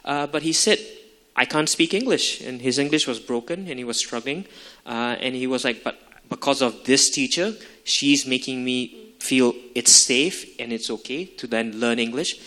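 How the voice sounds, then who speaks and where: A man who works with schoolchildren, telling a story in an animated way on a forum panel. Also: mid-range voice, normal speed, in a large hall. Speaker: youth worker visiting schools. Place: conference hall.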